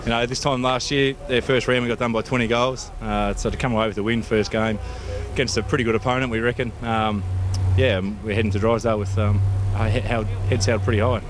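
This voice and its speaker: A man with an Australian accent giving halting, matter-of-fact interview answers as a football coach. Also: medium pitch, steady tone. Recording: noisy outdoor recording with vehicles.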